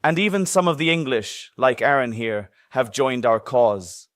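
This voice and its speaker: A narrator doing an eloquent Irish outlaw. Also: male voice, mid-range voice, expressive, unhurried, a bit bright, no echo, clean studio sound, pitched below the performer's own voice. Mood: earnest. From Ireland. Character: proud Irish bushranger man, silver-tongued.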